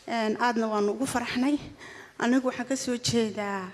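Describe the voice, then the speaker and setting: A woman's voice: fairly high pitch, steady tone, moderate pace. A woman from northern Somalia asking a question at a press conference, earnest and hesitant. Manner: earnest, hesitant with many fillers. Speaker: audience questioner. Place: large conference hall. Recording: long hall reverb.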